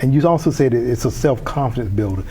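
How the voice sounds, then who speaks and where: A man's voice: mid-range voice, expressive, fast, dark tone, slight background noise. A man hosting a community TV interview, chatty and enthusiastic, often restarting his sentences. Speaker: host.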